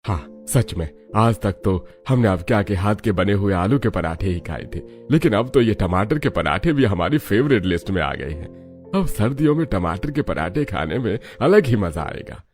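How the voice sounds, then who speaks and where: A man's voice: on the low side, big pitch swings, normal speed, darkish tone, faint music behind. A man playing an appreciative older head of a family in an acted story. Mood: pleased.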